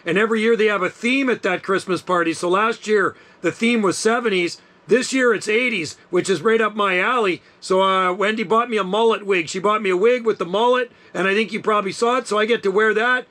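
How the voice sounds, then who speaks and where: A man's voice: sits high, a little fast, few pauses, sharp tone. A man in his sixties chatting in an upbeat way on an amateur radio link, sharing stories about retirement life. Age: 60s. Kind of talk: casual radio chat.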